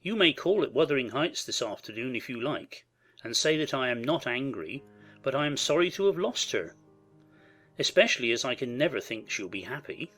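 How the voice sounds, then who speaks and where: A man playing a proud, wounded gentleman who speaks formally and emphatically in a dramatized audiobook. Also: mid-range voice, big pitch swings, normal speed, faint music behind. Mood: hurt, unyielding.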